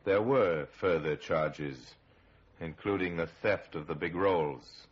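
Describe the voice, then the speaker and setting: A man acting as the grave, sardonic narrator of an old radio crime drama, telling it with measured, ominous irony. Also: deep voice, breathy edge, full character voice. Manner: measured, ironic, ominous storytelling. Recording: muffled vintage radio recording.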